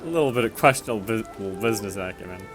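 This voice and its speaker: A man giving casual, off-the-cuff gameplay commentary, halting and informal as he thinks aloud. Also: fairly low pitch, expressive. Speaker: game streamer. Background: faint game music.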